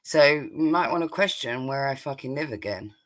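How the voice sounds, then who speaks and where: A woman with a North London accent and a raspy, throaty voice, chatting bluntly as a guest on a livestream panel. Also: extremely low pitch, expressive, moderate pace, no room sound, low-quality recording. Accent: North London English. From North London, England. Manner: blunt, swears freely, wry. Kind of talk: casual livestream chat.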